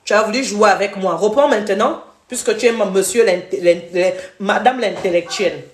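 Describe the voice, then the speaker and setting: A woman's voice: mid-range voice, moderate pace, slight background noise. Live-stream host, woman from Gabon; heated scolding rant, echoey room sound. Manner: scolding, repetitive, switches to English briefly.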